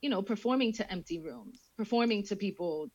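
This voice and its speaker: A woman's voice: medium pitch, lively intonation, fairly quick, a little mellow, slightly rough, no room sound, lo-fi sound. A woman from England, a guest chatting casually on a podcast, telling stories in a chatty way and swearing freely. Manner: chatty storyteller who acts out quoted voices, swears freely.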